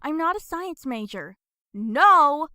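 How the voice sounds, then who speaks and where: A woman playing a hot-tempered, exasperated young woman in an audio drama, sharp-tongued. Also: high voice, very animated, slow pace, dry recording, clean studio sound, full character voice.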